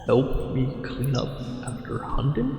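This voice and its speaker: Slow, echoing merman acted by a man. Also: medium pitch, big pitch swings, warm tone. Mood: flustered, apologetic. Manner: slow, formal, archaic diction with lingering pauses. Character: possessive, courtly angler merman monster, tender yet menacing. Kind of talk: ASMR roleplay acting. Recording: cavernous echo and long reverb, music behind.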